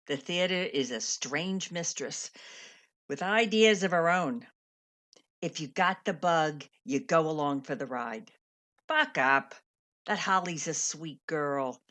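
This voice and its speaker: An actress in a radio play playing a worldly, kindly stage veteran with a wry, frank, slangy manner. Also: female voice, low voice, lively intonation, takes it slowly, a bit bright, full character voice. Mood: wry, encouraging.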